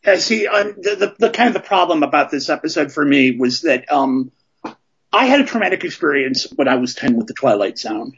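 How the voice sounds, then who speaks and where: A man's voice: fairly high pitch, sing-song, brisk, a bit bright, breathy edge, muffled recording. A man in his forties talking casually on a podcast, rambling with lots of ums and restarts. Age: 40s. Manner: rambling, many fillers and restarts.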